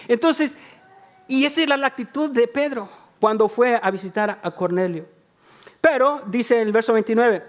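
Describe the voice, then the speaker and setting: A man from El Salvador preaching a sermon, talking to the congregation in a conversational way, repeating phrases for emphasis and joking about himself. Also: very high voice. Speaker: pastor.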